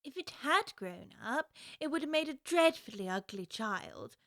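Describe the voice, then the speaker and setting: A prim, earnest young girl, polite and curious as she wonders aloud in a storybook. The voice is high and bright, recorded dry and close with no room around it. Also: lively intonation, measured pace, dry recording, heavily acted. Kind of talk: full-cast audiobook acting.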